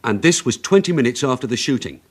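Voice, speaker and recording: A man's voice: mid-range voice, big pitch swings, fast, slightly rough. A man playing a dogged, headstrong police detective in a radio crime drama, assertive and insistent. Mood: confident.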